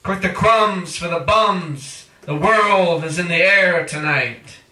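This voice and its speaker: A man reading his own poetry aloud in a theatrical spoken-word style, with dramatic chanting, drawn-out phrases and cries. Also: high-pitched, pushed and bright, a little hiss or hum. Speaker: poet reading own work. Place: indoor live reading at a cafe. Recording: distant mic in echoey room.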